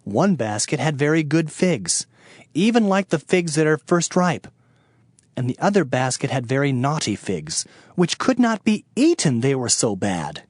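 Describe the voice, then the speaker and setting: Animated, declamatory male Bible narrator. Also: a little high, unhurried, dry recording, studio quality. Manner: solemn, declamatory, emphatic. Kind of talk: dramatized King James scripture reading. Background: background music.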